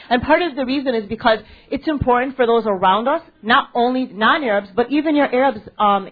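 A young American woman speaking to a student audience at a panel event, asking them questions and pausing for ums and restarts. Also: a little high, breathy edge. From United States. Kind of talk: public talk with audience interaction. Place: library event space. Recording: tinny phone-like recording.

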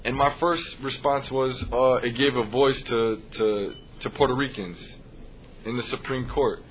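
A man from the United States, a Spanish teacher, speaking earnestly and measuredly with frequent pauses in a panel discussion. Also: mid-range voice, fairly even intonation. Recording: dull lo-fi recording, faint noise.

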